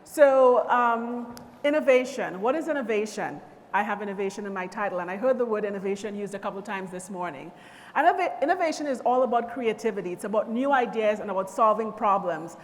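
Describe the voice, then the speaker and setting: A woman from Trinidad and Tobago giving an encouraging keynote speech to students at a conference, speaking in a measured way. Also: mid-range voice. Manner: measured, encouraging, lists things slowly. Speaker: conference speaker from a power company. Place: large convention hall. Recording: long reverb of a large hall.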